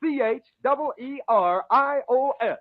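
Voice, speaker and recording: A man's voice: extremely high pitch, unhurried, dry recording, narrow, tinny audio. A man announcing commercials in polished, emphatic 1940s radio style, on an old broadcast recording. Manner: polished, emphatic sales delivery.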